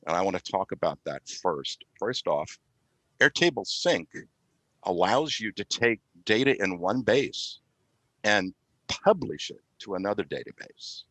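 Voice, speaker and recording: Male podcast guest, deep register, measured technical explainer with halting delivery, very little room sound. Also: lively intonation, sharp tone, no room sound, poor audio. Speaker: IT specialist and consultant, guest. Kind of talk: explanatory product talk on a podcast.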